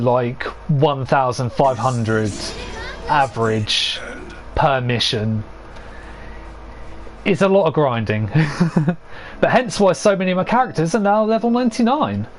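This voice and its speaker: A young man giving rambling, casual commentary to his chat as he plays, laughing often at his own jokes and a little hoarse from losing his voice. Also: mid-range voice, slight background noise. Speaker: streamer.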